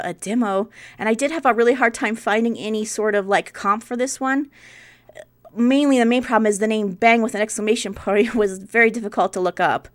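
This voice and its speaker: A woman in her forties talks through a casual vlog in a conversational, unscripted way. Her voice is smooth and clear, at an ordinary middle pitch. She explains things as if thinking aloud. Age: 40s. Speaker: part-time online reseller, video host.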